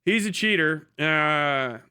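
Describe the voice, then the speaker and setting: A man hosting a live stream, giving casual, sweary political commentary in a sarcastic, animated way. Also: fairly high pitch, slow, smooth. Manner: sarcastic, acts out imagined conversations in different voices.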